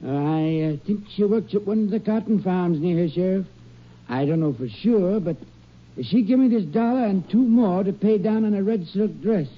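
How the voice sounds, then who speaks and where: A man's voice: sits high, normal speed. An actor playing a nervous, eager-to-please male small-town store owner who hesitates a lot, in a 1950s radio drama. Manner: hesitant, with many uhs. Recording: dull old radio recording.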